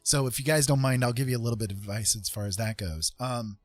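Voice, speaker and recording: A man's voice: mid-range voice, fast talker, no room sound, faint music behind. A man giving casual advice to viewers as a livestream host, talking in an informal, rambling way and often checking in with the listener.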